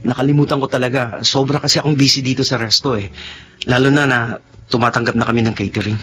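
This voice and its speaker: A man's voice: mid-range voice, steady tone, fast talker, fairly bright tone, lightly acted. A man with a Filipino accent playing a generous, apologetic restaurant owner and friend in a radio drama, speaking in a reassuring, conversational way. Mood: apologetic, hesitant.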